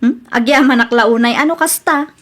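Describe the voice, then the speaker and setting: Woman acting high-voiced young Ilocano bride-to-be. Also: moderate pace, fairly bright tone. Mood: coy, dismissive. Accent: Ilocano Filipino. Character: earnest young engaged woman, exasperated by her ex. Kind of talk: comedy radio drama acting.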